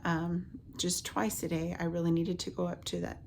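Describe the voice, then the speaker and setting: A woman in her late thirties telling a personal health story casually and haltingly, her voice scratchy from the tail end of a cold. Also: fairly low pitch, monotone, vocal fry. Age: late 30s. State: getting over a cold with a lingering cough. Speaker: vlogger, stay-at-home mother.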